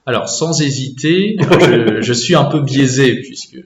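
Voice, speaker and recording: A young French man from Normandy, answering interview questions casually with many hesitant pauses. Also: mid-range voice, roomy sound. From France, Normandy.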